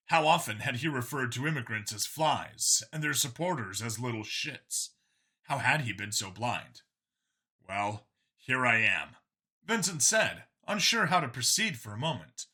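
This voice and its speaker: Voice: medium pitch, lively intonation, moderate pace, bright, forceful tone, slightly rough. A narrator reading a science fiction story aloud in a dramatic audiobook style.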